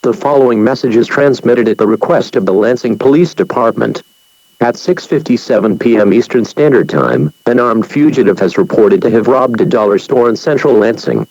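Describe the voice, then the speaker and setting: A man voicing an emergency alert announcer, reading a bulletin in a flat, formal, measured way. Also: mid-range voice, no room sound, close to an everyday voice. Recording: dull lo-fi broadcast audio.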